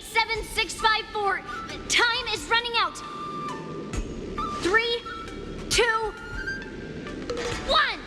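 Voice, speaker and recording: A woman playing a confident, blunt but caring young girl swordswoman in a video game, brisk and commanding. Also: very high voice. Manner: brisk, commanding, self-assured. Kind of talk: video game character acting. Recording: long reverb, music behind.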